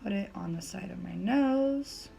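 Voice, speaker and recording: A young woman whose voice is still a little raspy and gravelly from a recent bout of laryngitis. She talks at a middle pitch in a casual, chatty way, walking step by step through a makeup routine with plenty of "um"s. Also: lively intonation, a little slow, dark tone, faint music behind. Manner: chatty, filler-heavy step-by-step explaining. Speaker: beauty vlogger.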